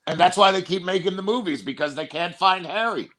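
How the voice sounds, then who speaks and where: A man's voice: sits high, a little fast, a bit bright. A man hosting a casual podcast, rambling through long tangents and swearing freely.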